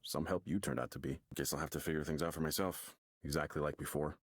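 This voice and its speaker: A man's voice: a bass voice, fairly even intonation, quick pace, dry recording, clean studio sound. An actor playing a bitter, withdrawn, weary man in a video game, speaking in a sullen, downbeat way. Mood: bitter, disappointed. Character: bitter, withdrawn, weary male counselling client. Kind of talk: naturalistic game voice acting. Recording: loud music behind the voice.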